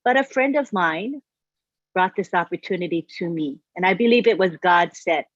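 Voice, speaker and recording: A woman's voice: on the low side, animated, normal speed, no echo, dull audio. A woman in her sixties, from Hawaii, telling her life story as a guest on a live stream in a chatty way. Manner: chatty storytelling, frequent "you know". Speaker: guest speaker. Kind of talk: personal testimonial on a live stream.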